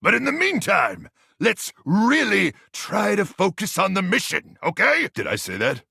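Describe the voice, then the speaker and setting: A frazzled, gung-ho soldier microwave from a video game. The voice is raspy and high for a man, swinging wildly in pitch. It blusters out military talk with awkward, paranoid intensity. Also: wildly swooping pitch, a little slow, pushed and bright, dry recording, studio quality, full character voice. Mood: gung-ho, flustered. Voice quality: gravelly, raspy. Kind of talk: animated video game voice acting.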